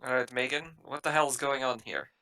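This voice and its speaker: A man's voice: mid-range voice, moderate pace, pushed and bright. A man playing a composed, direct spaceship captain in a tabletop roleplaying game. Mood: firm.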